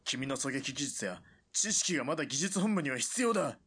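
An actor playing a stubborn army technical officer in a radio drama, speaking in a measured, formal way. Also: male voice, medium pitch, very animated, fairly bright tone, husky.

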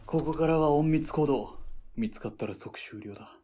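An actor playing a composed, wary sniper's partner in a radio drama, speaking in terse, measured tactical phrases. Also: male voice, a little high, warm tone, breathy edge. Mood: wary, hushed. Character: composed, wary military-trained sniper's partner on covert mission. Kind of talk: Japanese radio drama acting. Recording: faint vehicle and ambience noise.

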